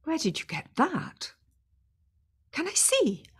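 A middle-aged actress playing a wistful, gentle mother with a grown child in a radio play. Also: high voice, wildly swooping pitch, slow pace, a little hiss or hum, exaggerated voice. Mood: moved, nostalgic.

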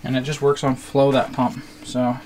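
A man's voice: medium pitch, completely flat delivery, moderate pace. A man giving a casual, hands-on how-to narration as a DIY video host. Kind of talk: casual how-to narration of a trailer plumbing setup. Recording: clear background noise.

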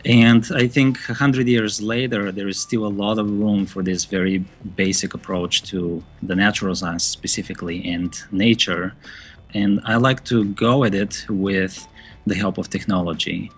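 A man, a bat biologist, giving thoughtful, measured interview answers with occasional fillers. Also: lowish voice, steady tone, breathy edge, soft background music.

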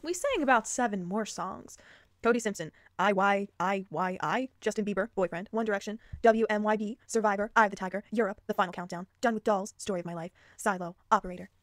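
A woman reading a story aloud informally, breaking in with expressive, amused reactions and exclamations. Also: medium pitch, fairly quick, no echo, studio quality. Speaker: host reading fan fiction aloud with commentary.

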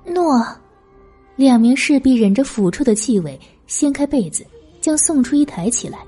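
A woman's voice: high voice, lively intonation, moderate pace, mellow, slightly rough, faint music behind. A woman reading scripted novel narration as an audiobook narrator.

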